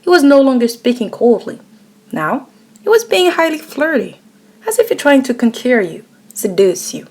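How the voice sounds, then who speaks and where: A woman's voice: high-pitched, big pitch swings, normal speed, a little mellow. A woman narrating a scripted second-person story as part of an ASMR roleplay.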